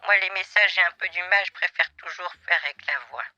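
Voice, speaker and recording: A man voicing a doddering elderly man with poor eyesight who speaks haltingly and stammers. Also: high voice, steady tone, pushed and bright, dry recording, highs cut off, soft background music, voice pushed up. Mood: plodding. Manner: hesitant, stammering, drawn-out. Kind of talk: prank phone call.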